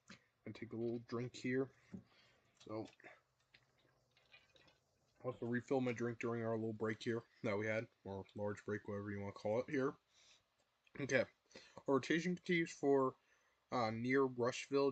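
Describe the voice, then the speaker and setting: A man's voice: mid-range voice, no echo. Young man, live weather stream host, losing his voice, dry close sound, filler-heavy warnings. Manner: repetitive, filler-heavy, urges viewers to take shelter. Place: his room at home.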